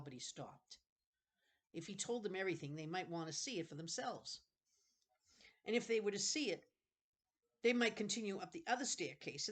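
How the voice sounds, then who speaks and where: A woman reading a children's novel aloud expressively, with casual asides and the odd self-correction. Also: sits low. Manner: expressive, stops to correct misreadings.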